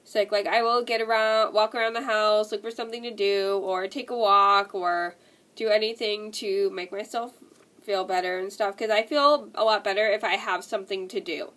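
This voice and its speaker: A woman's voice: mid-range voice, steady tone, moderate pace, a bit bright. A young woman vlogging with a stuffy nose from a cold, rambling and repeating herself with lots of "like" and "and stuff". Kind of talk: casual talk to camera.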